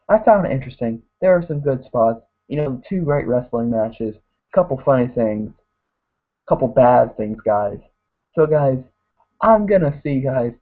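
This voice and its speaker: A man reviewing a wrestling show in a rambling casual way, saying "guys" constantly and getting hoarse. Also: mid-range voice. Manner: mocks wrestlers in put-on voices. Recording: tinny phone-like lo-fi audio.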